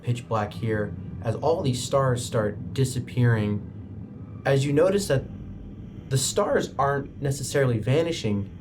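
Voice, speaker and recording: A man running a tabletop roleplaying game as game master, setting scenes in a dramatic, halting way. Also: medium pitch, lively intonation, background noise. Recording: background music behind the voice. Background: music.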